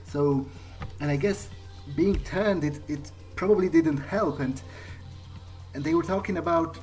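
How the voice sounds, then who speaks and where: A man acts out a bewildered fledgling vampire from a video game, thinking aloud. He speaks slowly and haltingly, searching for his words as he earnestly mulls things over. Music plays clearly behind him. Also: fairly high pitch, darkish tone, close to an everyday voice. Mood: pensive, troubled. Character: bewildered newly turned vampire, former programmer, musing earnestly. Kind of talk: in-character thinking aloud during a playthrough.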